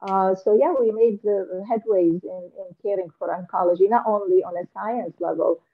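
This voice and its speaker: Soft-spoken woman from Syria, lo-fi audio. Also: medium pitch, steady tone, moderate pace. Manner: soft-spoken and thoughtful, with many fillers and restarts. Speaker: guest author. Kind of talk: casual interview chat. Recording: lo-fi remote interview audio.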